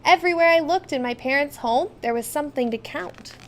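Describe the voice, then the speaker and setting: A woman reading a children's storybook aloud as first-person narration, expressive and emphatic. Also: high-pitched, brisk, a little hiss or hum. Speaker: storybook reader.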